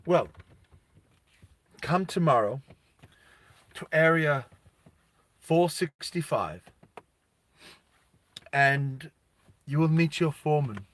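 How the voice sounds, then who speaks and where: A man playing a cold, implacable official who speaks formally, with measured and quietly menacing politeness. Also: a little high, expressive. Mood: cold, polite. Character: cold, courteous, implacable male official of a dystopian regime.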